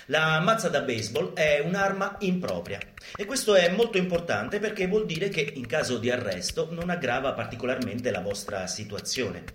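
Male actor for urban guerrilla instructor in radio theatre: emphatic, mock-instructional, very animated pitch, roomy live sound. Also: moderate pace, few pauses, slight background noise. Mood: wry, instructive.

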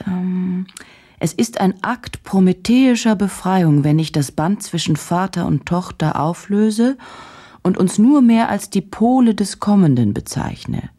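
An actress playing a driven, troubled woman journalist in a radio play, speaking in a halting, introspective, intense way. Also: mellow. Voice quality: low, husky. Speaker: radio drama actor. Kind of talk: German radio play, intimate monologue and interview.

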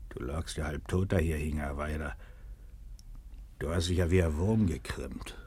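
An actor playing a concerned, plain-spoken village neighbour in a German radio play, solicitous and stubbornly insistent, with a husky voice. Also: male voice, very deep voice, moderate pace, a little mellow, no room sound, full character voice. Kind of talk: German radio play, naturalistic dialect-coloured acting.

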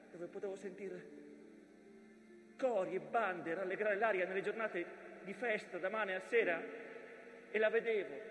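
An actor playing the mountain Hermada, a nostalgic, wounded witness to war, in a lyrical theatrical monologue with emphatic repetitions. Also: male voice, high voice, echoey room, long reverb tail, a little hiss or hum, lightly acted.

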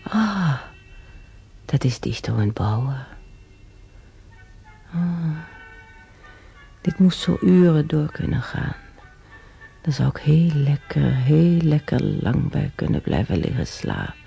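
Elderly woman, gravelly creak, homely rambling manner, hospital radio drama, music underneath. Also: low-pitched, expressive, slow pace, pauses often, mellow, full character voice. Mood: dreamy, wistful. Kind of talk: Dutch radio play acting.

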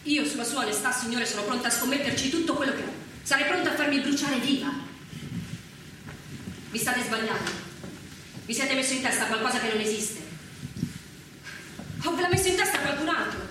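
An actress playing an outspoken, loyal maid in a stage tragedy, speaking with earnest emphasis. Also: female voice, high-pitched, animated, a little fast, pauses often. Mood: earnest pleading. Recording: very echoey, distant, noisy stage recording.

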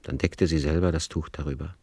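A man narrating a literary radio drama in a measured, grave way of storytelling. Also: rumbling low, steady tone, a little mellow, husky, no echo.

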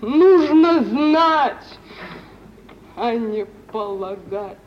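A woman's voice: on the high side, expressive, drawn-out words. An actress playing a proud, wounded, forsaken young woman in an old Russian radio play, with emotional theatrical delivery. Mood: hurt, indignant. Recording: muffled, noisy 1950s radio play recording.

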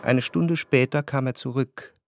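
An actor playing a reflective, refined gentleman narrator in a radio drama, measured, precise and gently ironic. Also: medium pitch, steady tone, loud music behind the voice, close to an everyday voice.

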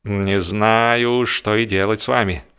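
A man's voice: fairly low pitch, fairly even intonation, slow, a bit bright, clear, resonant tone. A man voicing an accommodating railway engine driver in a comic audiobook, reluctant but willing to oblige. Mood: grudging, obliging.

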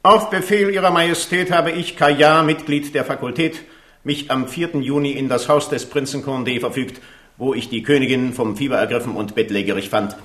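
A man's voice: medium pitch, a bit bright, lightly acted. Man playing an officious doctor, echoing room. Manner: formal, self-important, precise. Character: officious, pedantic royal physician giving his report. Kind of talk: German radio drama acting. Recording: roomy, echoing studio sound.

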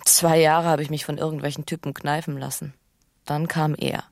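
An actress playing a bitter, passionate, scheming young wife in a naturalistic radio drama, speaking with urgent intimacy and slipping into whispers. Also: female voice, deep voice, level tone, moderate pace, vocal fry, no room sound, clean studio sound. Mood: bitter, weary.

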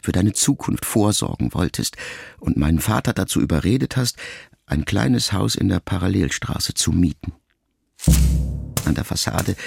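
Deep gravelly male audiobook reader. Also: no room sound, clean studio sound. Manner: measured, reflective reading with long pauses. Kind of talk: literary audiobook narration. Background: soft music.

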